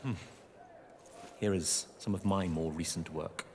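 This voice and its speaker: An actor playing a pompous, decadent young Roman prince in a video game, affected, languid and very pleased with himself. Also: male voice, low voice, steady tone, a little slow, a little mellow. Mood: smug, preening. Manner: affected, languid, self-satisfied. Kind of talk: theatrical video game acting. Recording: reverberant hall, faint background noise.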